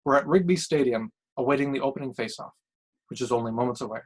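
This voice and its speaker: A man reads the part of a hangdog play-by-play announcer in a short story. He is the straight man of the booth, measured and broadcast-formal, with a dry, teasing wit. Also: mid-range voice, husky, lightly acted, poor audio. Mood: formal, setting up. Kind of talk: acted broadcast booth introduction in a story reading.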